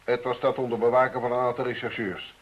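Guy doing a curt husky police inspector, sceptical, radio drama, dull old tape sound. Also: medium pitch, flat delivery, quick pace, lightly acted. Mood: matter-of-fact.

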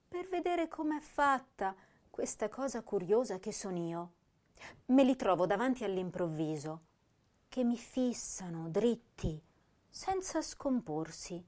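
A woman playing a sensitive, melancholy young poet, reciting her verse in a deliberate, emotive, lingering way. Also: medium pitch, a little mellow. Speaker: actress reciting poetry. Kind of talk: dramatic poetry recitation.

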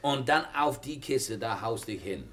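An actor playing a brisk, bossy but helpful sailor with colloquial, matter-of-fact speech in a German radio crime drama. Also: male voice, mid-range voice, expressive, fairly bright tone, slight background noise. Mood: brisk, instructing.

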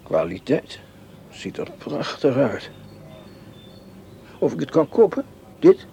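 A man's voice: fairly high pitch, huge pitch swings, takes it slowly, gravelly, dark tone, slight background noise, heavily acted. A man acting in a radio play as a scheming, cynical educated doctor who muses darkly to himself. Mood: greedy musing.

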